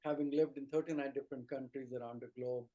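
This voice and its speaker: A man's voice: mid-range voice, fairly even intonation, fast talker, warm tone, highs cut off. A middle-aged man with an Indian accent, an Ayurvedic teacher explaining earnestly as an interview guest, building his points in short phrases and lists. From rural India.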